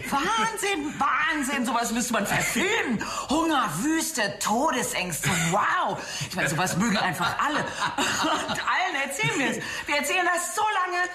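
An actress playing a giddy, grandiose woman artist in a German radio drama, declaiming excitably. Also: high-pitched, a little slow, few pauses, heavily acted. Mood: giddy, manic. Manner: excitable, declaiming, breaking into laughter. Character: giddy, manic woman artist named Tina, brittle and grandiose.

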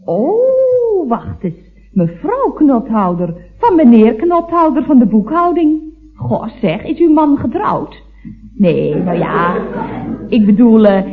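A gossipy, catty switchboard telephonist in an old radio comedy. Her talk swings animatedly up and down with mock innocence. The sound is thin and phone-like, as on an old broadcast. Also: a little high. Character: chatty, sly, catty office switchboard telephonist. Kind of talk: 1970s radio comedy acting.

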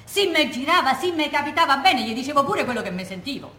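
An actress playing a proud, irascible, solitary Roman war widow, giving blunt colloquial testimony in a Roman accent for the theatre. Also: female voice, high-pitched, sing-song, fast talker, sharp tone, exaggerated voice. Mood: defiant, fiery. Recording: echoey room, faint background noise.

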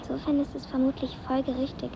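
An actress playing a polite, sad, precocious girl of about ten in a radio drama, speaking formally and haltingly. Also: high voice, monotone, creaky, background noise. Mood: sad, composed. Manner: formal, halting, overly courteous.

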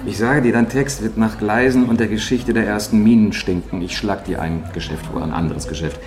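An actor playing a pushy, scornful publisher in a theatrical German radio drama, insistent and patronizing. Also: male voice, fairly low pitch, a little fast, lightly acted. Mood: scheming, patronizing. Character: pushy, scornful male publisher haggling over a manuscript. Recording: roomy echo with music underneath.

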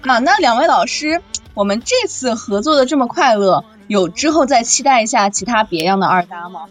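Lively higher-pitched woman hosting a chat. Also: a little fast. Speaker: host and voice actress. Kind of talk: casual chat, moderating. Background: background music.

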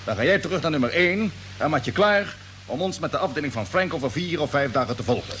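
An actor playing a confident space fleet captain in a radio drama, giving clipped, authoritative orders. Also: male voice, a little high, lively intonation, fast talker, a bit bright, faint music behind. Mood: commanding, brisk. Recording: old radio play, humming background noise.

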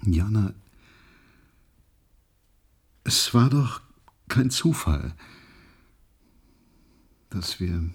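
An actor playing a tender, reassuring astronaut in an intimate radio play, earnest and hesitant, with a deep husky voice. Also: male voice, expressive, extremely slow, full character voice. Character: tender, reassuring male astronaut, older lover of a crewmate.

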